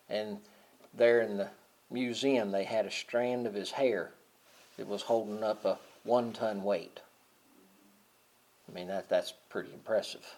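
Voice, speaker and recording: A middle-aged man preaching a sermon, talking casually and then swelling loud and high on key points. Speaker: pastor.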